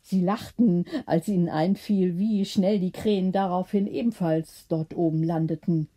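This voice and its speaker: A woman's voice: on the low side, fairly even intonation, normal speed, mellow. A woman reading a bedtime story as a scripted audiobook narration.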